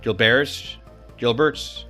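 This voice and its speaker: A man hosts a casual podcast interview. His voice is bright and forceful, sitting at an average pitch, and he talks in loose, chatty banter. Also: fairly even intonation, extremely slow, soft background music. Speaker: podcast host.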